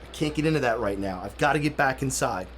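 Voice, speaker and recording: An actor playing a smooth, evasive 1930s city planner in a radio drama, speaking in a polite, placating, measured way. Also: male voice, medium pitch, animated, fairly bright tone, slight background noise, lightly acted. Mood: confiding, placating. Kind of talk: period radio drama acting.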